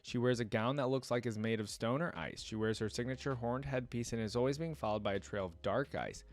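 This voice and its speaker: A man's voice: mid-range voice, brisk. A man hosting a reaction video, reading text aloud and commenting on it in an informal, thinking-aloud way. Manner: informal, thinking aloud between read passages.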